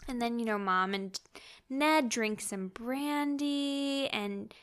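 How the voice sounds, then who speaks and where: A woman with a fairly high voice tells a story as a podcast co-host. Her delivery is casual and animated, full of slang and joking asides, like chatting with a friend. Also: slow, smooth. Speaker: podcast co-host recapping a novel. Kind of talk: casual chatty story recap with acted-out quotes.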